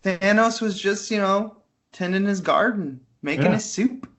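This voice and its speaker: A man's voice: high-pitched, measured pace, a bit bright. A man co-hosting a casual film podcast over an internet call, talking in an informal, wry way. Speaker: podcast co-host reviewing films. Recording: Skype call audio.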